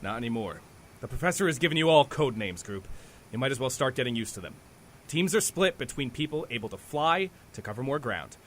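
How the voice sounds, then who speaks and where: An actor playing a stern, dry-witted superhero team leader who gives clipped orders in an audio drama. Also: male voice, mid-range voice, lively intonation, fairly quick, a bit bright. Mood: dry, commanding.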